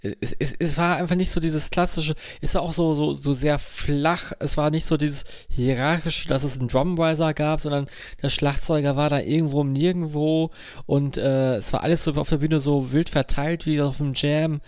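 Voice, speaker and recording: Halting male podcaster, dry sound. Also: on the high side, steady tone, darkish tone, no room sound. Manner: halting, repeats words while searching for phrasing. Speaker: podcast co-host. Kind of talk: casual chat.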